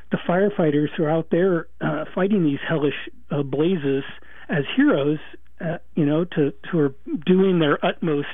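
A man hosting a radio interview, his voice sitting a bit higher than most men's. He talks conversationally and stumbles over restarts and fillers. The sound is dry and narrow, like a phone line. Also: no echo. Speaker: radio talk show host.

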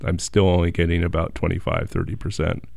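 Low, smooth gravelly male host. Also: a little fast, no echo, clean studio sound. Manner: relaxed, reflective, some stammering restarts. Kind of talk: casual interview chat.

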